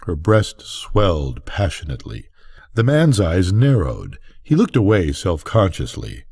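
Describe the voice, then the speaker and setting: A man's voice: low-pitched, huge pitch swings, a little slow, creaky, a little mellow, breathy edge, dry recording. An older man narrating a bedtime story expressively, as a storyteller.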